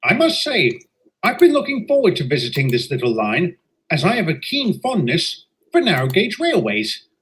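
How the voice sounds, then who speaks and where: A man's voice: a little high, huge pitch swings, normal speed, fairly bright tone. A man voicing a stout, genial older railway director who speaks in a polite, formal, jovial way in a fan audio drama.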